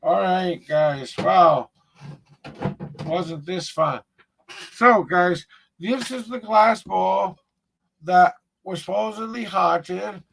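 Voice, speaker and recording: A man with a gravelly voice talking casually on a live stream as a paranormal investigator, rambling and repeating himself as he shows objects. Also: sits high, very slow, long pauses, slight background noise, poor audio.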